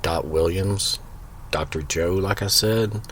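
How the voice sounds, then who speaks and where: Guy doing a deep soft-spoken family friend, kind of fatherly, slight background hiss. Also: slow pace. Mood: gentle. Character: older family friend, gentle and paternal. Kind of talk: audio drama acting.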